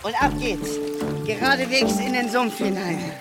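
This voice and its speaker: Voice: medium pitch, unhurried, natural voice. A performer voicing a brave, kind young troll in a children's radio play, with an earnest, reassuring manner. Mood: calm. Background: loud music score.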